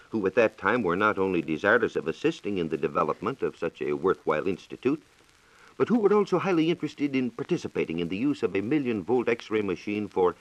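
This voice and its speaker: A man's voice: fairly low pitch, dry recording. An American man explaining science formally as a reporter on a scripted 1940s radio program, in a dated lo-fi recording. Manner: formal, explanatory, measured diction.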